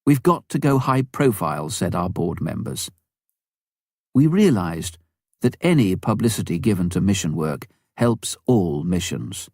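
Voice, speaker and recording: A man reading a memoir aloud as scripted narration, with measured, deliberate phrasing. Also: sits low, sing-song, a little mellow, no room sound, studio quality. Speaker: audiobook reader.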